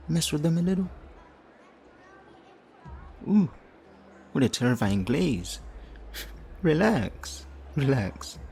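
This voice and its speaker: A man playing a flirtatious, theatrical supervillain leader in an audio roleplay, smug and righteous, with teasing, drawn-out phrasing. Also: medium pitch, gravelly, a little mellow, slight background noise, full character voice. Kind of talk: audio roleplay addressed to the listener.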